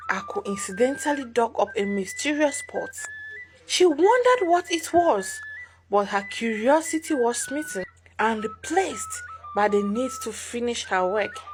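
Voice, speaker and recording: Lively woman telling a folktale, music behind. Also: a little high, poor audio. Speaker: storyteller. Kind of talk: scripted folktale narration.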